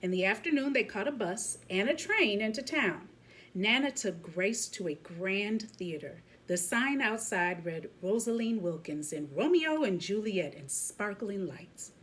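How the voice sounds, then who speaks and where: Woman, expressive children's storyteller, lively swooping pitch, husky edge, teacherly asides. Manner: animated, pausing to comment to young listeners. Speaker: teacher reading a picture book aloud.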